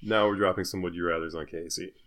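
A man's voice: sits low, steady tone, quick pace, a bit bright. A man co-hosting a casual comedy podcast, bantering in a wry, teasing, jokey manner. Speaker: podcast co-host.

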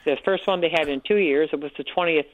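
A woman with a Newfoundland accent calling in to a radio show, loud-voiced and frank, talking on and on. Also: low-pitched, quick pace. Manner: frank, talkative storyteller. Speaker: caller, support group organizer. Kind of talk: radio call-in chat. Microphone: telephone. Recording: tinny phone line.

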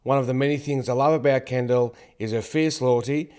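A man hosting a podcast over a video call, talking loudly and casually. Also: mid-range voice, fairly even intonation, brisk, echoey room. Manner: loud, self-described loudmouth. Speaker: podcast host. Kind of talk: casual interview chat.

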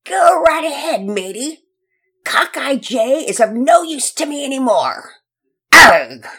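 A woman voicing gruff male pirates in a storybook reading, growling pirate talk with loud exclamations. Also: on the low side, big pitch swings, a little slow, full character voice. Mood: taunting. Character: gruff male pirates, Redbeard and Cockeye Jay.

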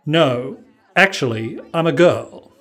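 A man's voice: fairly high pitch, animated, slow, fairly bright tone, exaggerated voice. A man doing an earnest, nervous young girl.

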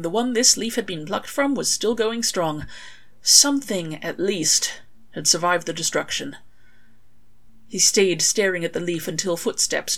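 Woman narrating a story, kinda lowish voice, wry and expressive, reading her own fic. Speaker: author reading own fan fiction.

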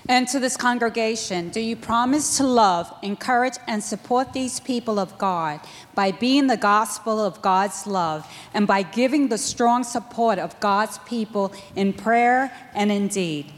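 A woman's voice: a little high, a little slow, a little hiss or hum. A woman formally and earnestly asking a congregation to pledge its support to new members. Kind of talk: liturgical question to congregation. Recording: long hall reverb.

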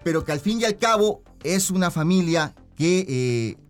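A man's voice: high-pitched, animated, normal speed, faint music behind. A man with a Mexican accent, speaking conversationally and at length as a director being interviewed.

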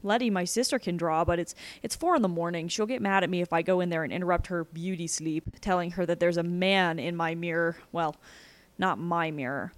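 A woman's voice: fairly low pitch, brisk, no room sound, lightly acted. A woman voicing a wary but defiant young boy with a nervous, questioning manner, in a story reading. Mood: matter-of-fact.

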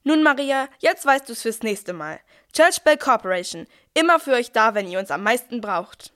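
A chatty, cheeky archangel , played by a woman, speaks in a fairly high voice. The figure presents a nativity radio play like a confident heavenly messenger with something to sell. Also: animated, normal speed, a bit bright. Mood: upbeat, promotional.

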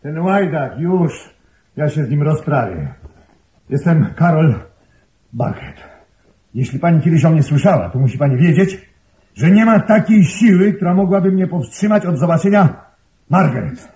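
An actor playing a hot-headed, defiant intruder in a radio play, protective and accusing, with an agitated, urgent delivery. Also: male voice, on the high side, big pitch swings, moderate pace, warm tone. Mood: angry, defiant. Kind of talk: Polish radio play acting.

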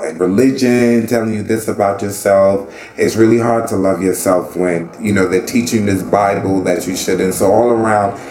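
A man's voice: sits low, darkish tone, echoey room. A man in his thirties from the Bahamas, speaking earnestly and reflectively in an oral history interview, often saying "you know". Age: 30s. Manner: earnest and reflective, with frequent fillers.

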